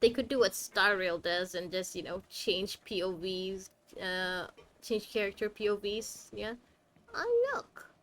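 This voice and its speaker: A woman's voice: mid-range voice, a bit bright. A woman giving casual, chatty live playthrough commentary, playfully talking back to the characters. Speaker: game streamer. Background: faint game music.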